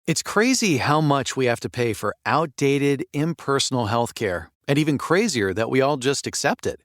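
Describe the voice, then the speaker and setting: A man delivers a scripted advertisement with very lively intonation. His read is polished and persuasive, at a medium pitch, recorded close and dry. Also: normal speed, dry recording. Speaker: advertisement announcer.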